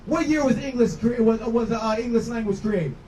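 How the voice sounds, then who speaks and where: A man's voice: very high voice, a little fast, darkish tone. A man street preacher debating in an insistent, instructive way, often repeating his points, recorded from a distance outdoors. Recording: distant mic, faint outdoor street noise.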